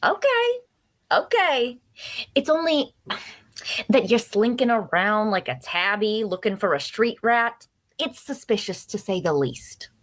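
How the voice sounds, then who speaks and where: Woman doing a nosy cheerful fantasy traveler girl, folksy and teasing, pitch swings all over. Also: big pitch swings, unhurried. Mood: suspicious, nosy. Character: chatty, nosy, cheerful young female traveler. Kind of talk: dark fantasy audio drama table read.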